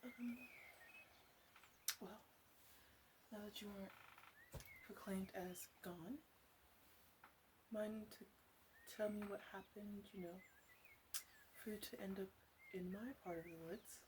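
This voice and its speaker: A woman playing a flirtatious, chatty witch of the woods in an ASMR roleplay, speaking in a soft, hesitant, playfully rambling way. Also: medium pitch, steady tone, slow, pauses often, background noise, natural voice. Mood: gentle, curious. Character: flirtatious, teasing forest witch, wry and chatty.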